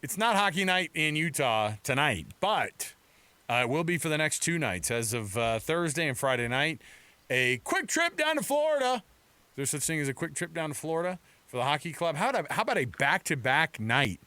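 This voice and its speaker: An American man co-hosting a lively sports talk radio show. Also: a little high, normal speed, smooth, no room sound.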